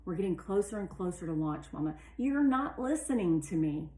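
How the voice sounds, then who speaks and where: A woman's voice: lowish voice, animated, fairly quick, mellow, lightly acted. A woman reading as a busy, devoted engineer pleading with her mother in a storybook, earnest and strained, in a roomy recording. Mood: insistent, weary. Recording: roomy, echoey sound.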